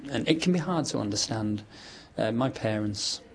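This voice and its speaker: A man's voice: lowish voice, expressive, normal speed. Hesitant man from the Manchester area. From Manchester area, England. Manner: hesitant, with repeated restarts. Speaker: interviewee, photographer. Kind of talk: casual interview talk.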